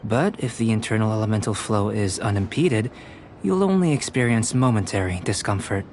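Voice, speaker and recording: A man voicing a calm, detached young alchemist in an anime-style video game, speaking in a measured, precise, professorial way. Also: on the low side, a little hiss or hum. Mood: clinical, assured.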